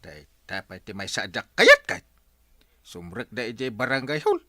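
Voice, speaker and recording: An excitable village captain played by a man in an Ilocano radio comedy. His bright, forceful voice leaps up and down in exclamations, heard close and dry with an Ilocano accent. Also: mid-range voice, normal speed, dry recording. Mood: exclaiming, grumbling.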